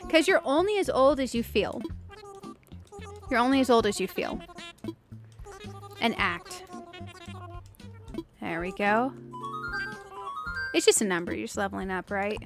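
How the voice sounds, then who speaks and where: A woman's voice: mid-range voice, animated, unhurried, pauses often. A woman in her late thirties with a youthful-sounding voice, chatting casually and giggly as she hosts a video game livestream. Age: late 30s. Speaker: streamer and video game host. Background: music.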